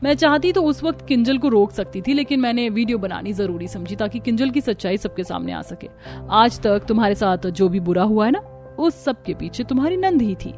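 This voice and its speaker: A woman's voice: fairly high pitch, lively intonation, a little fast, darkish tone. Lady playing a patient earnest young bahu in a cartoon drama, music behind her. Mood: earnest accusation. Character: dutiful, wronged young daughter-in-law, patient and earnest. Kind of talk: Hindi cartoon family melodrama.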